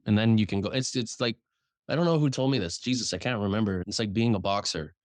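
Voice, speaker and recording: A man, a writer and journalist, talking frankly in a casual interview, swearing now and then and restarting his sentences, speaking above his naturally deep voice. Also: fast talker, dry recording. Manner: frank, swears casually, repeats words when starting sentences.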